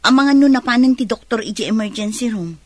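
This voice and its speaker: A woman's voice: fairly high pitch, expressive, fast talker, dry recording. A woman acting a busy hospital worker in an Ilocano radio drama. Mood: hurried. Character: busy female hospital worker, eager for lunch.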